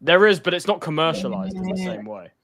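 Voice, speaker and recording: A man's voice: high voice, very animated, brisk, a crowd in the background. A man on an online call giving strong football opinions and laughing easily. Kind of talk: casual chat.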